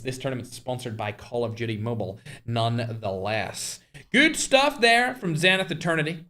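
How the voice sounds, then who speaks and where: Young male stream caster, bright forceful tone, slightly high, chatty live esports commentary. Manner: chatty, talks straight to chat, animated bursts. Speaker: tournament host and caster.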